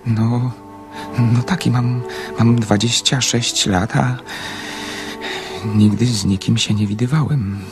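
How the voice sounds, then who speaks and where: A bashful, lonely young dreamer in a radio play. His speech is halting and full of nervous stammers, earnest and romantic. Music plays softly behind him. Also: mid-range voice, steady tone. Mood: flustered, earnest. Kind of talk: radio drama acting.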